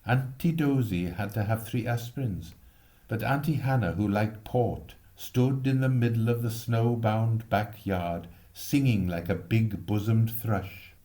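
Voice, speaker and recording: A man reading a literary story expressively, with measured, lyrical phrasing. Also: mid-range voice, mellow. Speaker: reader.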